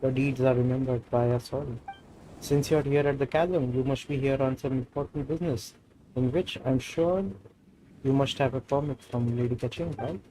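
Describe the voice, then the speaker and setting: A male game streamer with an Indian accent and Hindi as his first language. He comments live and reads game dialogue aloud in a medium-pitched voice. There is a faint bed of background noise. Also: fairly even intonation, normal speed, mellow, poor audio.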